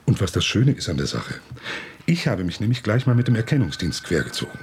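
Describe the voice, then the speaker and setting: An actor playing a cocky, wisecracking police assistant in a radio crime drama. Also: male voice, fairly low pitch, very animated, brisk, slightly rough, heavily acted. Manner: wisecracking, cheeky, sarcastic. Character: cocky young male detective assistant, Philipp.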